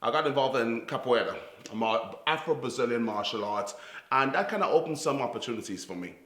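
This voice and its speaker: A man in his forties from Trinidad, with a Trinidadian accent softened by years in New York, earnestly telling his life story and often restarting his phrases. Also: mid-range voice, animated. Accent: Trinidadian with New York influence. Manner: earnest, halting, with repeated restarts. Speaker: youth theatre founder and director. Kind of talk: reflective personal storytelling to camera. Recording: echoey room.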